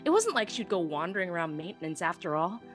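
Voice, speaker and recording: A woman's voice: fairly low pitch, very animated, fast talker. Woman as a wistful young pony narrator over music. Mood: flustered, eager. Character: shy, self-deprecating young female pony technician. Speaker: first-person narrator. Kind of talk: dramatic live audiobook reading.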